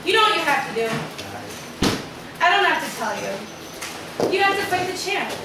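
A woman's voice: very high-pitched, very animated, moderate pace. A woman playing general manager in a live wrestling promo, taunting and sarcastic. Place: small indoor wrestling venue with audience. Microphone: far camera. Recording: very echoey room, far camera, loud noise.

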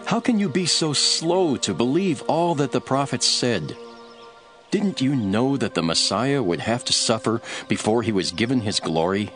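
A man playing a calm, authoritative holy teacher in an audio Bible drama, gentle and deliberate in delivery. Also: mid-range voice, soft background music, close to an everyday voice. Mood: reproving.

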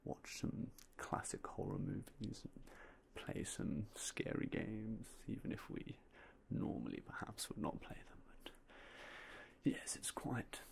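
Gravelly whispering man, ASMR shopkeeper. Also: on the low side, expressive, slow. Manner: soft, polite, mostly unvoiced whispering. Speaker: game store clerk in roleplay. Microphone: binaural mic, close up.

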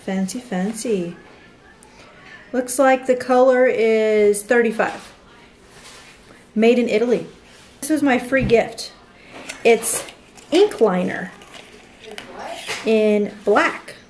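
A woman unboxing makeup, slowly reading out product labels. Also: on the high side, darkish tone, slight background noise. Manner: slow, deliberate reading of labels.

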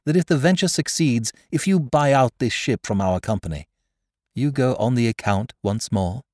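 A man's voice: mid-range voice, normal speed, darkish tone, no room sound, clean studio sound, pitched above the performer's own voice, natural voice. A man voicing a spirited, earnest young woman who speaks with heat. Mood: indignant. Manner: earnest, heated.

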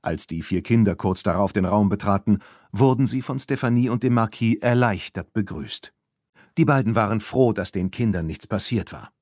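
Male children's audiobook narrator, lowish pitch, expressive storytelling, dry studio sound. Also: normal speed, no room sound.

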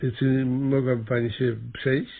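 An actor playing a blustering, slow-witted small-town mayor in a radio comedy, stammering and repeating his words. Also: medium pitch, little pitch movement, lightly acted. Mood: brisk, officious. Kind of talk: Polish radio play comedy acting. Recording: slightly muffled, lo-fi radio recording.

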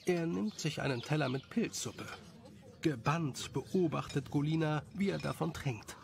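Higher-pitched male storyteller, slightly creaky. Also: measured pace, a little hiss or hum. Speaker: narrator. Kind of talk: scripted present-tense story narration. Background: music.

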